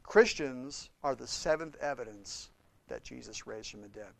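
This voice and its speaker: A man preaching a sermon with impassioned swings between loud and hushed delivery. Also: medium pitch, normal speed, creaky, dry recording. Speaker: pastor.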